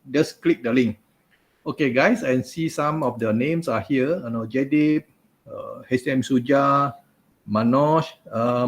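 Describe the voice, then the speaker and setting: A man hosting a live webinar interview over a video call, chatty, with many fillers and asides. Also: medium pitch. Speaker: host. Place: home office, video call. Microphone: video call webcam.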